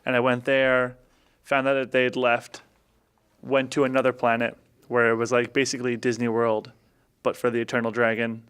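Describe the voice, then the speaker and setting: A man with a very clean, smooth voice gives a casual recap of a tabletop game adventure. He speaks haltingly, feeling around for details as he goes, like a player on a podcast. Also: medium pitch, monotone, fairly bright tone. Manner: halting, searching for memory.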